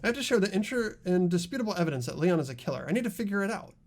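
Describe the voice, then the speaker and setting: A man voicing an earnest high school boy in a mystery game, explaining a case in a deliberate, dramatic way. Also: very animated. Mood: puzzled, intent. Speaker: amateur voice actor in a game playthrough.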